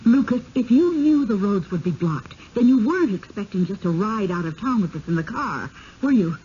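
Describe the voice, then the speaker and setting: Mellow-voiced, anxious, questioning wife acted by a woman. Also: mid-range voice, animated, normal speed, lightly acted. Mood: suspicious, probing. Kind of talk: 1970s radio drama acting. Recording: dull, hissy old radio broadcast.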